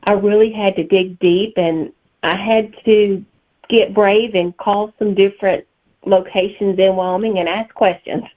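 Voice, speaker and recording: A woman's voice: mid-range voice, fairly even intonation, a little slow. A middle-aged or older woman from Alabama with a Southern accent, answering interview questions as a guest author. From Alabama, United States. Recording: tinny phone-like line.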